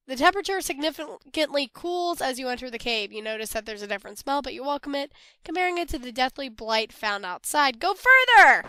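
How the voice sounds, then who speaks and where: A young woman playing a text adventure game, reading its lines aloud and commenting in between. She is a little hoarse from a cold, laughs often and swears loudly when the game surprises her. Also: high voice, dry recording. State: hoarse, getting over a cold. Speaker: let's play gamer.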